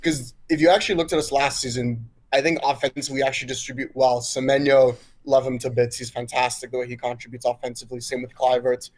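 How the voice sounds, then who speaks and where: A male caller with an international accent, American mixed with his Swiss upbringing. He talks in eager bursts, full of fillers, over a video call. His pitch sits mid-range. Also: flat delivery, quick pace, hardly any pauses, poor audio. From Switzerland. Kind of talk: casual football chat.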